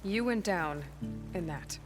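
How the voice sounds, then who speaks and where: An actress playing a practical young adventurer in a video game, dry, direct and no-nonsense. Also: female voice, lowish voice, lively intonation, takes it slowly, over music. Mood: curious, wry. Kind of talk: video game cutscene acting.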